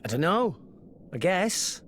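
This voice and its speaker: A woman's voice: fairly low pitch, sing-song, takes it slowly, fairly bright tone, slight background noise, full character voice. A woman voicing an earnest teenage boy in a video game, hesitant, awkward and easily tongue-tied. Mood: unsure. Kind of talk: video game cutscene acting.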